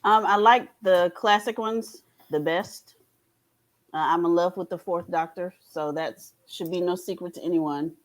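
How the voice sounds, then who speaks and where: A woman speaks as a panelist in a casual fan conversation. Her voice is a little lower than average for a woman. The delivery is informal and chatty. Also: fairly even intonation, a little slow.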